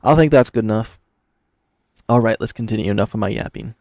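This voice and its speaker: A man hosting a visual novel playthrough, switching between casual chat and expressive narration of the story. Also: lowish voice, a little fast, dry recording, clean studio sound. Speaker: channel host playing and reading a visual novel.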